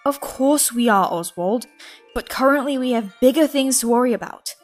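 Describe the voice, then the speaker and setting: A woman's voice: on the high side, animated, moderate pace, soft background music, pitched above the performer's own voice. A woman voicing a sensible, exasperated male cartoon gremlin who reasons and scolds. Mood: reasoning.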